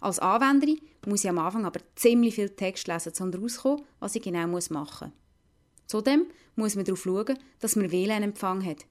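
A woman's voice: mid-range voice, expressive, a little fast. Swiss German woman reading a radio report. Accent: Swiss German, Lucerne. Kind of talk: scripted radio report narration.

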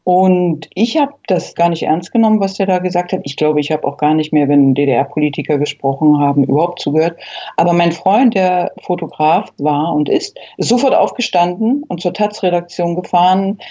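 Female interviewee, fifties, from Berlin. Warm, relaxed timbre, reflective recollection, somewhat dull sound. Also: on the low side, normal speed. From Berlin, East Germany. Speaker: interview guest, eyewitness.

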